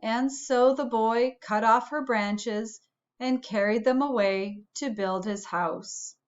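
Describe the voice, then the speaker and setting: A woman's voice: a little high, slow pace, dull audio. A woman reading a storybook aloud to children, like a school principal addressing pupils.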